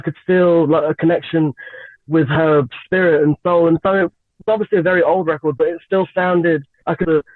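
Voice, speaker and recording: A young woman from Lagos and London, a singer, chatting as an interview guest with frequent giggles and lots of halting fillers. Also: low-pitched, no room sound. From Nigeria and England. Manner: giggly, hesitant with many fillers. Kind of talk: radio interview, casual chat. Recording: tinny phone-line sound, dry.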